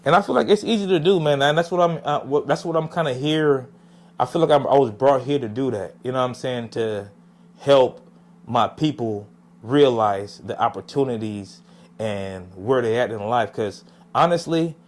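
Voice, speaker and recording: A man's voice: mid-range voice, moderate pace. Rambling Jamaica-born man in conversation. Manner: reflective, rambling, lots of "you know what I'm saying". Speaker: podcast guest, music artist. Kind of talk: casual interview talk.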